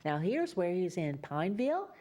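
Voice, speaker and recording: A woman reading a picture book aloud as a Mother Goose storyteller, pausing for chatty explanations to young listeners. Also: on the low side, very animated, mellow. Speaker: children's storyteller and host.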